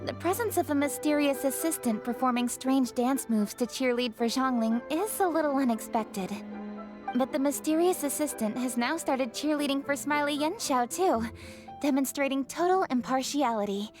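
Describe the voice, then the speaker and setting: A woman's voice: sits high, moderate pace, close to an everyday voice. A woman voicing a composed young female judge in a video game, speaking formally and articulately over music. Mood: composed, explaining. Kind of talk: English video game voice acting.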